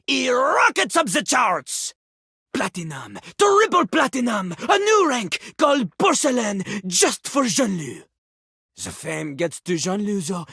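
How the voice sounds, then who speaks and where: Husky, swooping, dramatic French lothario. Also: female voice, medium pitch, a bit bright, no echo, clean studio sound, exaggerated voice. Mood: excited, bombastic. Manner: grandiose, flirtatious, speaks of self in third person. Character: vain, dramatic French toilet, a male aspiring rapper. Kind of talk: theatrical video game character acting.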